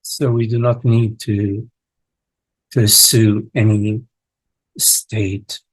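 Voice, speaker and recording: A man leading a guided meditation as a teacher, speaking in halting short phrases with long contemplative pauses and a husky voice. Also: lowish voice, flat delivery, no room sound, rough recording. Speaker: meditation teacher leading a live online session.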